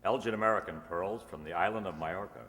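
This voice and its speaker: A man's voice: deep voice, fast talker, fairly bright tone. A man playing an old-time radio announcer, presenting sponsors' gifts with a polished sales delivery. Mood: polished selling. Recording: echoey hall with long reverb.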